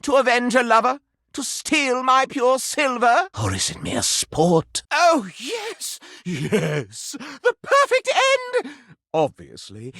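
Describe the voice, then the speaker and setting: Wildly melodramatic, haughty antique candelabra. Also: male voice, no room sound, clean studio sound, heavily acted. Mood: melodramatic despair, then theatrical relish. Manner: grandiloquent, theatrical, swooping from hushed to booming declamation. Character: haughty, melodramatic antique silver candelabra who lives for scandal. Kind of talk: scripted video game character voice acting.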